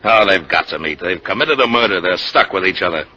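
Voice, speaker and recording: A man acting a shrewd, excitable insurance investigator in 1950s radio drama, insistent and emphatic, on a noisy vintage recording. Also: low voice, fairly quick, muffled recording, full character voice. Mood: grimly certain. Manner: emphatic, insistent, repeats himself.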